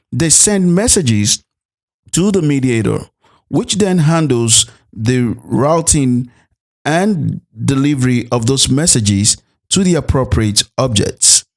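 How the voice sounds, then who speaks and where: A man's voice: on the high side, expressive, measured pace. A man narrating a programming tutorial as an instructor, explaining things step by step. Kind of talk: tutorial narration with code walkthrough.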